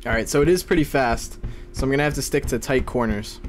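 A man in his twenties giving casual, excitable and jokey live commentary as he plays a video game. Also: mid-range voice, fast talker, faint music behind. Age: 20s. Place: small room indoors at a gaming setup.

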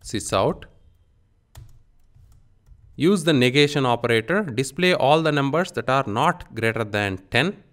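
A man giving an instructional software tutorial, dictating in short, clipped phrases as he works through each step. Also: mid-range voice, animated, a little slow, pauses often. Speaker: programming instructor.

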